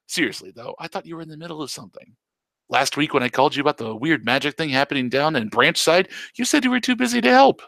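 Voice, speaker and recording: Raspy forties mom, dry and wary, voiced by a man, softer and lower than the rest. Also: expressive, quick pace, no echo. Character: exasperated mother in her forties.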